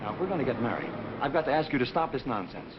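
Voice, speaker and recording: A man's voice: medium pitch, very animated, racing, noisy background, lightly acted. A man playing a stern, sensible, exasperated fiancé in a vintage film style, on a muffled old soundtrack. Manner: reproving, matter-of-fact. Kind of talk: vintage Hollywood film acting.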